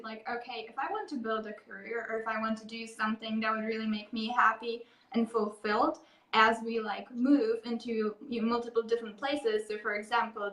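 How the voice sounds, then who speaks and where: Bright-voiced woman from Czechia, echoey call. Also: fairly high pitch. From Czech Republic. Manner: rambling, many fillers like "like" and "um". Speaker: guest, happiness coach. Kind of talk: casual interview chat. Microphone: video call. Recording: echoey room, distant from mic.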